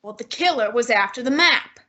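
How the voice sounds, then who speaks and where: A pompous, imperious male detective, played by a young woman in a radio play. The voice sits high and sounds bright and tense. The lines are clipped and scornful. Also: lively intonation, fairly quick, highs cut off. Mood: reasoning. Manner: haughty, clipped, dismissive. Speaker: high school drama student. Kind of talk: amateur radio play acting.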